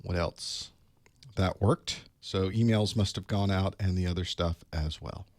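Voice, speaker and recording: A man with a hoarse voice from a cold, chatting casually to his live stream audience while he sets up for an online class. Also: low-pitched, steady tone, measured pace, no room sound. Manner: chatty, wry, talks to himself while setting up. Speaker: online course instructor. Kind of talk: casual pre-show chat to a live stream. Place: small studio desk.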